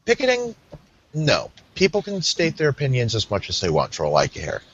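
A man's voice: mid-range voice, wildly swooping pitch, normal speed, breathy edge, lo-fi sound. A man from the Pittsburgh area co-hosting a podcast, giving blunt opinions in casual chat. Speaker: podcast co-host.

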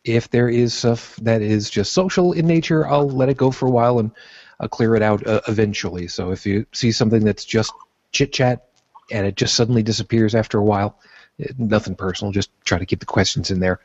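A man's voice: on the low side, brisk, no echo. A man hosting an online panel show, casually introducing each guest over a video call. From born in Brooklyn, raised on Long Island. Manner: relaxed, chatty, introduces guests one by one. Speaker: host of a panel vlogcast. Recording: dry, muffled lo-fi audio.

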